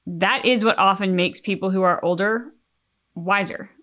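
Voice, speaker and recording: A young adult woman co-hosts a casual reaction chat. She talks in long, reflective runs with an earnest, thoughtful tone, and her pitch sits in an ordinary middle range. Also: normal speed, fairly bright tone. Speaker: reaction channel co-host.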